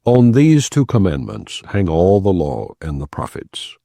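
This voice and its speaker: A man giving a solemn, measured, non-dramatized reading of scripture from the Bible. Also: low voice, big pitch swings, gravelly, warm tone, no room sound, clean studio sound. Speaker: Bible reader.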